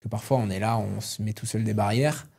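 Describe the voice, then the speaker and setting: A man's voice: lowish voice, steady tone, brisk, gravelly, a little mellow, slightly rough. A man hosting a casual interview, chatty and piling one question onto the next. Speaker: podcast host, interviewer.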